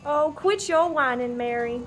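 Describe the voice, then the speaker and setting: A woman's voice: high-pitched, unhurried, fairly bright tone, faint music behind. A woman playing a bossy, no-nonsense diner owner who scolds in a comic radio play. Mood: exasperated.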